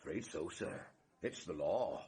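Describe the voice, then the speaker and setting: A man's voice: sits low, animated, measured pace, a little mellow. An actor playing a plodding, officious policeman with a ponderous manner in a vintage radio drama. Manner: ponderous, bureaucratic. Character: plodding, officious male policeman doing paperwork. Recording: noisy vintage radio recording.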